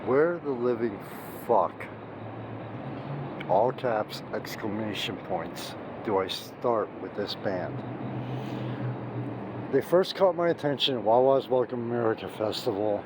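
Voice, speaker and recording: A hoarse middle-aged man giving an emphatic, gushing music review, recorded outdoors on a city street at night, restarting his sentences often. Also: on the low side, expressive, a little slow, pauses often. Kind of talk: off-the-cuff video review. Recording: street noise and traffic behind.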